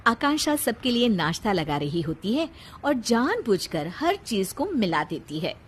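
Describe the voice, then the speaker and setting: A woman's voice: fairly high pitch, sing-song, measured pace, a little hiss or hum. A woman narrating a scripted cartoon family story in the role of storyteller.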